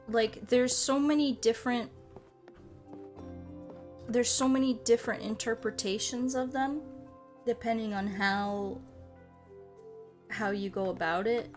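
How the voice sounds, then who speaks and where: A woman streaming a game, chatting casually and thinking aloud in an informal, rambling way. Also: fairly high pitch. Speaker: streamer and host. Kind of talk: casual livestream chat while playing a game. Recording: music playing behind the voice. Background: music.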